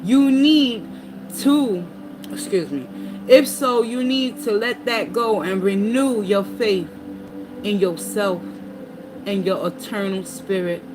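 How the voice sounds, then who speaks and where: A woman's voice: mid-range voice, slow pace, over music. A woman giving a tarot reading, reading card meanings aloud and dropping in casual asides to her viewers. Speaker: tarot reader. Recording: noticeable background noise.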